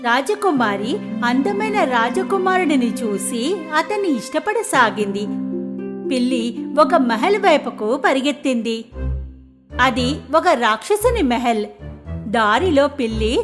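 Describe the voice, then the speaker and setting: A woman reads a children's fairy tale as its narrator. Her voice is high and swings expressively up and down, with music playing clearly behind her. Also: takes it slowly, pauses often. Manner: expressive storytelling. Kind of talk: scripted children's story narration.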